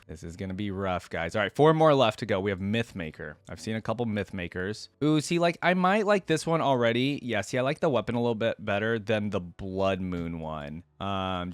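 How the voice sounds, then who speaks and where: A man's voice: fairly low pitch, no echo. A man giving casual reaction commentary as a video host, thinking aloud in informal, slangy language.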